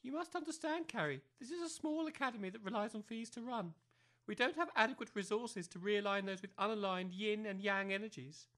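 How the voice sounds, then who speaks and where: A woman's voice: mid-range voice, fairly quick, fairly bright tone, natural voice. A woman playing a pompous, snobbish new-age academy head in an amateur comedy audio drama, speaking precisely in lofty jargon. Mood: haughty.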